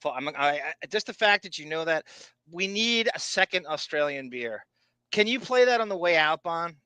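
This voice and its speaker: A man's voice: a little high, lively intonation, moderate pace, pushed and bright, clean tone, no room sound. A man co-hosting a podcast in casual chat, joking and swearing, often stammering and restarting. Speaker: podcast co-host.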